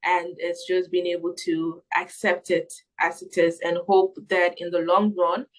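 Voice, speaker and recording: A young adult woman with a slightly low voice answers interview questions reflectively. She sounds earnest and thinks aloud, often halting as she works toward her point. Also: little pitch movement, low-quality recording. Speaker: missionary interviewee.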